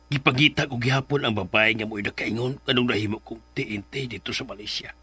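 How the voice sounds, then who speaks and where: A remorseful, long-suffering father in a radio melodrama. The voice is tense and raspy at a medium pitch, sorrowful and earnest. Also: fast talker, bright, forceful tone. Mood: sorrowful, resolute. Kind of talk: radio drama acting.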